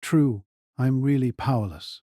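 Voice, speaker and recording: A man's voice: medium pitch, a little slow, dark tone, dry recording, studio quality, natural voice. Man acting as a weary, regretful dying father. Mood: resigned. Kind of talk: audio drama acting.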